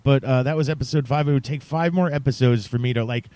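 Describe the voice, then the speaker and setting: A man's voice: mid-range voice, fast talker, a little mellow, no echo. A man hosting a podcast, talking casually to his listeners in a chatty, playfully emphatic way. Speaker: podcast host.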